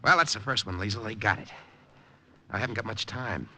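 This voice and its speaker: Earnest, intense agent behind enemy lines, low and sharp, vintage radio drama. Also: male voice, animated, a little fast, full character voice. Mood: wry, steady. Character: earnest American secret agent behind enemy lines, narrating his story. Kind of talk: 1950s radio drama acting. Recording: old radio broadcast with music.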